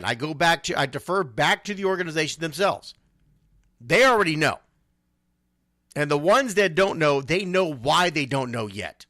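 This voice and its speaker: A man's voice: a little high, bright, forceful tone, dry recording, studio quality. A man hosting a casual sports talk radio show, speaking emphatically and repeating phrases to drive points home.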